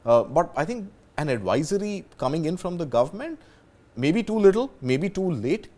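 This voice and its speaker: A man's voice: on the high side, big pitch swings, normal speed, darkish tone. A man with an Indian accent giving unscripted commentary as a news panelist, hedging often as he goes.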